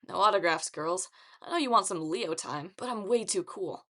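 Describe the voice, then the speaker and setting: A woman's voice: mid-range voice, animated, normal speed, sharp tone. A woman voicing a brash, boastful, wisecracking teenage boy hero in an audiobook. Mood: brash boasting. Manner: boastful, swaggering. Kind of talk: audiobook character acting.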